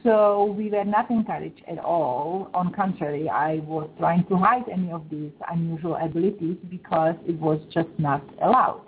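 A woman from the Czech Republic with a Czech accent, a guest pet psychic on a call-in show, speaking careful, halting English. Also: lowish voice, a little hiss or hum. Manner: careful, halting English with small grammar slips. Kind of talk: call-in radio chat. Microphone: Skype call. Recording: thin phone-line sound.

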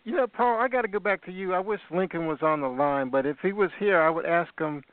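A man's voice: sits high, fast talker, no echo. A man hosting a call-in talk show and interviewing guests in an effusive, enthusiastic way. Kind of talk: casual radio interview. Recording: tinny phone line, dry.

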